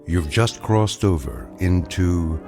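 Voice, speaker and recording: A man giving a measured, portentous opening narration for a mystery anthology series. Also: low-pitched, lively intonation, music in the background. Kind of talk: scripted dramatic opening narration.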